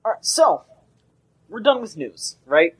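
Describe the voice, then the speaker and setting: Husky young man rambling on a podcast. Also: high-pitched, big pitch swings, unhurried, fairly bright tone. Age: young adult. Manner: rambling, restarts sentences often. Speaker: podcast co-host. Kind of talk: casual podcast chat.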